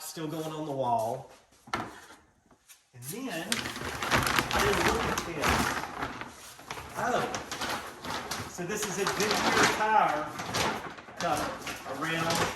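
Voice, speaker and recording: A man's voice: a little high, heavy background noise. A man hosting a casual show-and-tell vlog, talking in a folksy, rambling, enthusiastic way. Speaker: vlog host, vintage garage restorer.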